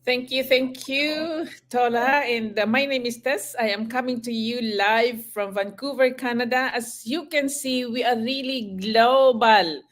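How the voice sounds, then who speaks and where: A woman's voice: fairly high pitch, measured pace, hardly any pauses. An older woman, a retired nurse, co-hosting a live online webinar in a friendly manner with frequent fillers.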